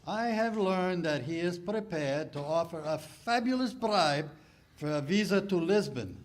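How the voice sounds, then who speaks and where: An actor playing a courteous but menacing Nazi major in a 1940s radio play, formal and clipped. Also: male voice, high voice, lively intonation, unhurried. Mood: stern.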